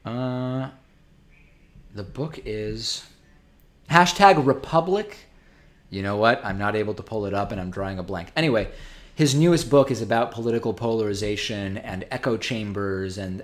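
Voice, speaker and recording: A man's voice: on the low side, normal speed. A man whose first language is Spanish, hosting a live stream and reading out viewer donations in a casual way, with frequent ums and restarts as he thinks aloud.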